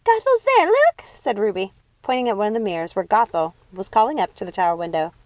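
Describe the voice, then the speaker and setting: A woman reading a children's fantasy novel aloud as expressive scripted narration. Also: medium pitch, fast, no echo, low-quality recording. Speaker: audiobook reader.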